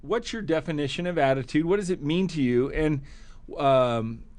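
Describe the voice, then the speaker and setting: A man's voice: fairly high pitch, moderate pace, a little mellow, dry recording. A man hosting a motivational podcast, with an enthusiastic, punchy delivery. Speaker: podcast host.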